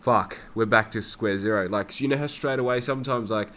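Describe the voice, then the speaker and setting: Young Aussie man, low-pitched, loose and profane, talks off the cuff to camera. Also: a little fast, bright, forceful tone. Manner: rambling and heavily profane. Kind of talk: casual personal monologue.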